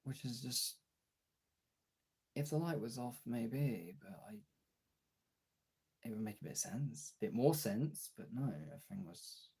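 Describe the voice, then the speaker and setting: A man with a gravelly, husky voice in a casual, personal chat to viewers, halting and candid, swearing often. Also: medium pitch, animated, mellow. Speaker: streamer.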